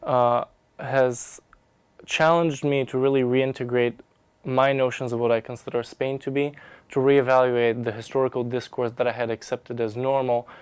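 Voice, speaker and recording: Smooth-voiced young man, formal and academic. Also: mid-range voice, a little mellow, no echo. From Bulgaria. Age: young adult. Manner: articulate, formal academic wording. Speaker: research scholar, graduate student. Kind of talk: prepared personal testimonial to camera.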